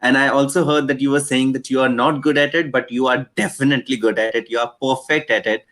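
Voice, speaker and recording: A man's voice: medium pitch, fairly even intonation, fast talker, a bit bright. Man on a video call, encouraging and reassuring, online poetry show. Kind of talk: live online chat.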